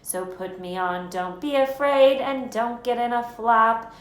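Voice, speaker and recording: A woman voicing an old, patched, singing wizard's hat that chants its verses in rhyme, loud and lively. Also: fairly high pitch, unhurried, voice pushed up, close to an everyday voice. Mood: sing-song. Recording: roomy with faint noise.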